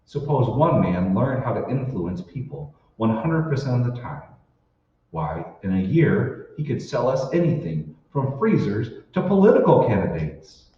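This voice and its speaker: A man's voice: mid-range voice, big pitch swings, a little slow. A man playing an earnest, determined radio-drama hero who uncovers a conspiracy, speaking with urgent emphasis. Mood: urgent, astonished. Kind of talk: old-time radio drama acting. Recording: echoey hall, distant, muffled, noisy.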